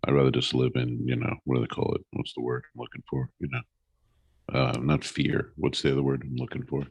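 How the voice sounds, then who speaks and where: Very deep-voiced man with a dry sound. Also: flat delivery, a little fast, gravelly, a little mellow, husky, dry recording, dull audio. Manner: hesitant, searches for words, playful reactions. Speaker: podcast co-host. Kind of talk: casual comedic chat. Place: Napa, California, indoors.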